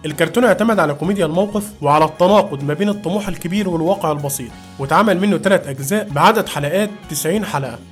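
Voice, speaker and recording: A man with an Egyptian accent narrating an informal scripted review video. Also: high voice.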